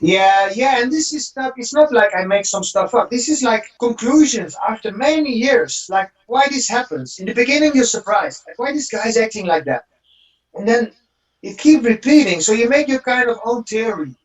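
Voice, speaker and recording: A man's voice: extremely high pitch, lively intonation, moderate pace. A man from the Netherlands with a Dutch accent, a guest talking in an earnest, motivational way and often repeating words as he thinks. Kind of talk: casual interview chat. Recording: roomy, distant remote audio.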